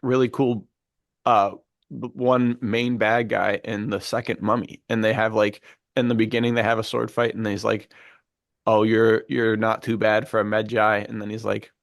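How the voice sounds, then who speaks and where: A man's voice: lowish voice, little pitch movement, normal speed, gravelly, no echo. A man co-hosting a podcast, talking casually with slangy, laid-back enthusiasm. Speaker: podcast co-host.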